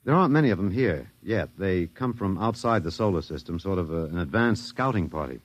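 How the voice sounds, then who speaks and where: An actor playing a wry, detached, philosophical American academic, musing and hesitant, in a 1950s radio drama. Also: male voice, low voice, animated, fairly quick, no echo. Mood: musing explanation. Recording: dull vintage 1950s radio recording.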